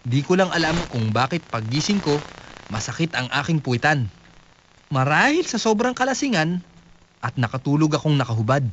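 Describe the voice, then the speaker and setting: Naive young squatter, solemn, dull radio sound. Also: male voice, fairly high pitch, very animated, moderate pace, a bit bright, slight background noise. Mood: earnest, proud. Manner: solemn, letter-reading delivery. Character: naive, earnest young male squatter writing home with pride. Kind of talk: comic radio drama monologue.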